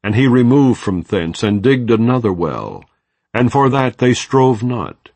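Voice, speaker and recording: A man giving a measured, solemn reading of scripture, recorded in a dry studio. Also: mid-range voice, very animated, darkish tone, no echo. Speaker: Bible reader.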